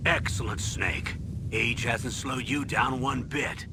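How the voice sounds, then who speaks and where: An actor playing an authoritative military colonel giving measured briefings in a video game. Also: male voice, mid-range voice, noisy background. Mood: approving, businesslike. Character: authoritative older male military colonel, briefing his agent.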